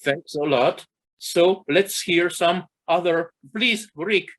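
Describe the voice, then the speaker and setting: Halting man with a Romanian accent. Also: sits high, a bit bright. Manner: halting, searching for words with many fillers. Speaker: co-host. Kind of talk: literary interview chat.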